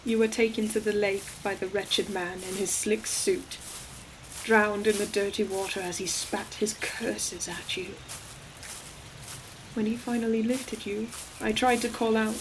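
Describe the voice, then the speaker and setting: Slow, solemn ancient forest demon played by a woman. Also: mid-range voice, fairly even intonation, background noise, lightly acted. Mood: mournful. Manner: solemn, poetic, deliberate phrasing. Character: ancient female forest demon, tender, sorrowful, protective old friend. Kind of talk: scripted audio roleplay monologue, slow dramatic storytelling. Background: ambient environmental sound effects.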